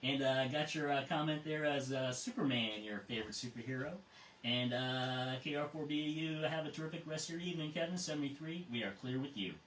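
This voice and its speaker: A middle-aged or older man acting as net control on a ham radio net, talking casually through check-ins and often halting to repeat words. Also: medium pitch, fairly bright tone. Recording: roomy, distant mic, faint noise.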